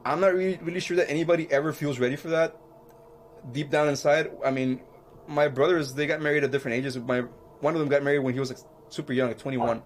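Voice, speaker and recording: A man co-hosting a podcast in casual chat, halting and repeating himself as he searches for words. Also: fairly high pitch, steady tone, a little hiss or hum. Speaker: podcast co-host.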